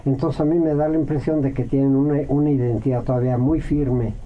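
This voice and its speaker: A Mexican man, a radio guest, answering at length in a reflective way, with many pauses and fillers. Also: medium pitch, steady tone, phone-quality sound.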